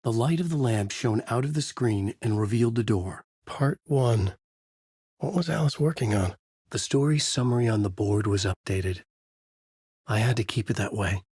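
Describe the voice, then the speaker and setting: A man narrating a dark thriller game as a brooding, haunted writer, in a deliberate, literary, dramatic delivery. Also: fairly low pitch, husky, no echo, clean studio sound. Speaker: voice actor playing a writer protagonist who narrates.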